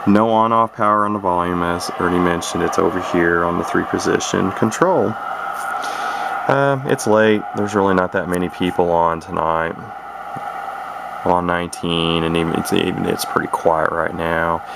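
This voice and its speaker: A man with a deep, fry-laden voice talks casually through a piece of his collection. He rambles, hesitates and doubles back on words. The amateur recording has clear background noise. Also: fairly even intonation, moderate pace. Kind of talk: casual product demonstration. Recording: noisy amateur camera recording.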